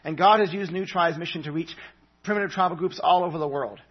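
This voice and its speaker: A man from Papua New Guinea gives an earnest, chatty sermon as a visiting missionary, stumbling through fillers and restarts. His voice sits a little high and sounds husky. The church recording is muffled and lo-fi. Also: fast.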